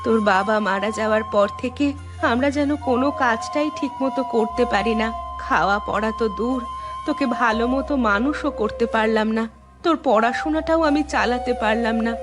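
A woman's voice: a little high, fairly quick, lightly acted. Sorrowful widowed mother acted by a woman. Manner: mournful, lamenting. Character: sorrowful poor widowed mother, middle-aged, weary. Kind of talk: Bengali cartoon story voice acting. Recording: music behind the voice.

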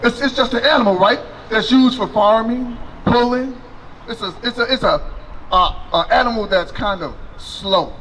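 Middle-aged male street preacher, high voice, handheld mic outdoors, light street noise. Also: moderate pace, low-quality recording. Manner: loud, preaching with a handheld mic, questioning passersby. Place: city sidewalk outdoors by a fence.

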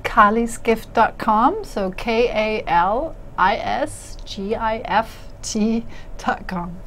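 A woman's voice: fairly high pitch, takes it slowly, fairly bright tone, a little hiss or hum. A young woman from Denmark, a native Danish speaker, talking openly and easily as an author guest on a studio talk show. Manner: open, laughing, easygoing. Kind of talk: studio interview chat. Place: small studio with dark curtain.

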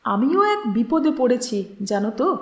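A woman storyteller voicing a frank, idealistic man in a measured, matter-of-fact way. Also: on the high side, big pitch swings, darkish tone, echoey room. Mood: calm, frank.

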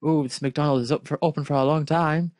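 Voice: mid-range voice, steady tone, fast, darkish tone, dry recording. A person reading fan fiction aloud casually, with hesitant, dry critical asides. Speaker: fanfic reader and commentator.

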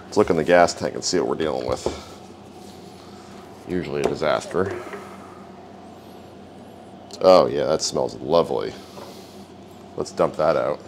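A man's voice: rumbling low, a little slow, creaky, long pauses, noisy background. A man hosting a repair video, narrating casually and wryly as he works at a garage bench. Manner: relaxed, wry, talks while working.

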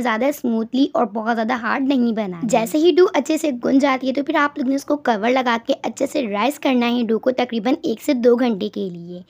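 A woman's voice: a little high, darkish tone. A woman giving step-by-step recipe instructions as a voice-over, often doubling words for emphasis. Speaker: cooking channel host.

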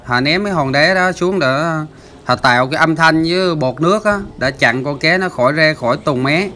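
A man's voice: fairly high pitch, normal speed, bright, forceful tone, slight background noise. A man hosting a fishing channel, explaining the footage in a chatty voice-over with lots of filler sounds. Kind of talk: casual voice-over commentary.

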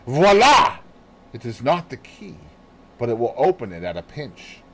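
A man's voice: medium pitch, big pitch swings, mellow, husky. Man voicing a fussy, lilting detective. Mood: brisk, musing. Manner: precise, deliberate, peppered with French phrases. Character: fussy, placid Belgian male detective, growing old. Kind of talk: audiobook character dialogue.

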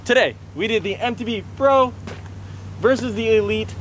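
A man's voice: sits high, moderate pace, low-quality recording. A young American man chatting casually and with upbeat slang for a fishing vlog, outdoors by the water. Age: young adult. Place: outdoors by a pond and creek. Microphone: handheld camera at arm's length. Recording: clear outdoor ambient noise.

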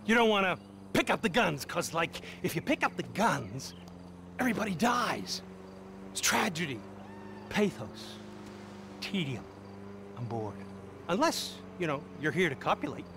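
An actor playing a vain, entitled American film star in a satirical video game, pompous, affected and condescending. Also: male voice, fairly high pitch, sing-song, a little slow, long pauses, noisy background. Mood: condescending, bored.

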